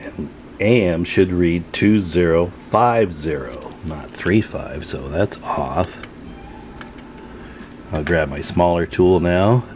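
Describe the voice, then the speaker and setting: A man's voice: low voice, takes it slowly, darkish tone, slight background noise. A man narrating a hands-on repair tutorial in a casual, folksy way as he works. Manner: folksy, informal, talking while working. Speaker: radio repair tutorial host.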